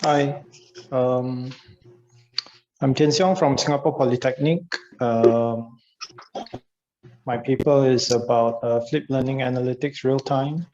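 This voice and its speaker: A man presents his paper haltingly, with many ums. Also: medium pitch, steady tone, background noise. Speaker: conference presenter. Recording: slightly dull lo-fi audio.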